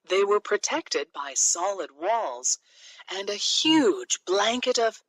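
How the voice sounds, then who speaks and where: Slow, animated woman storyteller. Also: medium pitch, no room sound, poor audio. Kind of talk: scripted children's fairy tale narration.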